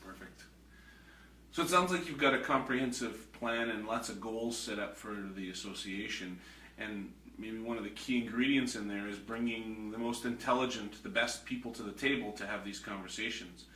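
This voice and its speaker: Bright-voiced male interviewer, echoey. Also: lowish voice, moderate pace, slight background noise. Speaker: interviewer, host. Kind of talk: interview questions. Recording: echoey room, distant mic.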